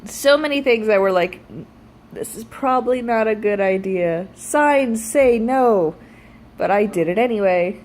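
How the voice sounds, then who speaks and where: A woman's voice: mid-range voice, a little slow, slight background noise. Thirty-something woman chatting candidly in vlog style. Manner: animated, wry, self-deprecating, swears freely. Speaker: vlogger. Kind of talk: casual storytelling chat to viewers.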